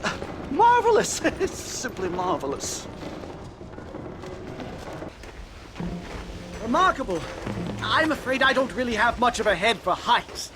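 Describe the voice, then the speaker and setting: An actor playing a pompous, excitable, drug-addled professor who stammers and rambles in a video game cutscene. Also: male voice, very high voice. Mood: smug, marvelling. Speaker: scholar on field sabbatical.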